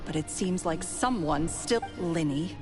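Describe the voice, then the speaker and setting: A woman's voice: fairly low pitch, expressive, a little slow. An actress playing a cunning, bitter female thief in a video game, cool, sardonic and theatrical. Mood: scornful. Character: cunning, bitter woman, unmasked secret thief. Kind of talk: English video game voice acting. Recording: game music playing behind the voice.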